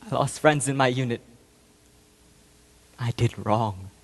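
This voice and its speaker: In a long hall reverb, a man acts a guilt-ridden, haunted marine veteran confessing on stage. His delivery is halting and intense, moving between hushed and explosive, in a high-set male voice. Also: lightly acted. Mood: haunted, subdued. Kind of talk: theatrical stage monologue. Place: large echoing room, performing to an audience.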